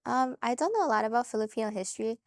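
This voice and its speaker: A woman's voice: fairly high pitch, animated, rapid-fire, no echo. A young woman answering hesitantly in a documentary interview, with lots of "um" and "like".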